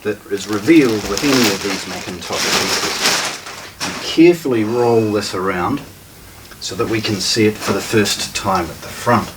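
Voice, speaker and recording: A low-pitched man talks through a product demonstration, recorded some way from the mic. He is methodical and measured, pausing mid-phrase as he explains things step by step. Faint noise sits behind his voice. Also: distant mic. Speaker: hi-fi shop presenter. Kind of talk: unboxing product demonstration, unscripted narration.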